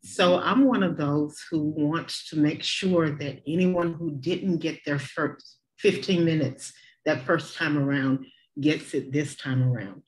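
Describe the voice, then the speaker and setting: A woman's voice: low voice, slow pace, poor audio. Middle-aged woman author from Tennessee. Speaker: children's author, former teacher. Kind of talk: self-introduction on a webinar. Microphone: computer mic on a video call.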